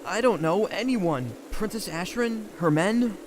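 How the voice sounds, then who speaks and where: An anxious young boy hero, voiced by an actor in a fantasy audio drama. He speaks slowly and hesitantly, tripping over his words, with faint noise and ambience around the voice. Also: medium pitch, lively intonation, close to an everyday voice. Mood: uncertain. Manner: hesitant, stammering.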